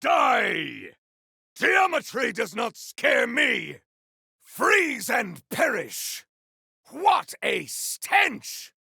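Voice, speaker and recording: A man voicing a grandiose, battle-hungry warrior in a video game, with a rough, gravelly voice and bombastic, theatrical delivery. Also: very high-pitched, huge pitch swings, extremely slow, pushed and bright, dry recording, raised voice, clean studio sound, exaggerated voice. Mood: battle fury. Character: grandiose, battle-hungry male warrior with fire and ice powers. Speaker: playable game character. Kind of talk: video game combat barks.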